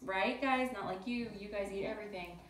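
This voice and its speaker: A woman's voice: medium pitch, fairly quick. A woman teacher reading to children and explaining simply, chatty and full of questions for her young listeners. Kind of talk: picture-book read-aloud and simple lesson for children. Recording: roomy echo with faint background noise.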